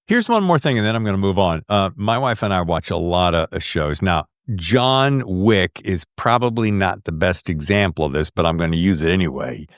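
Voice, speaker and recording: Middle-aged male podcast host. Lively intonation, low voice, chatty and emphatic, casual questioning. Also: moderate pace, few pauses, smooth, no room sound, clean studio sound. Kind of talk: casual conversational interviewing.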